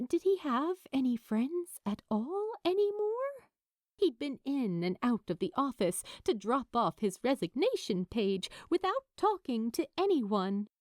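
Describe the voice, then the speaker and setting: Slow, very expressive woman narrating fiction. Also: on the high side, no room sound, clean studio sound. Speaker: audiobook narrator.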